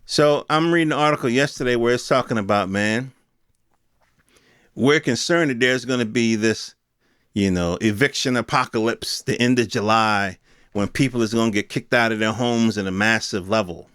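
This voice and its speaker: A man's voice: medium pitch, moderate pace, a bit bright, clear, resonant tone, dry recording, studio quality. An American man in his fifties giving a casual, opinionated solo monologue in emphatic, slangy, conversational speech. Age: 50s. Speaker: podcast host.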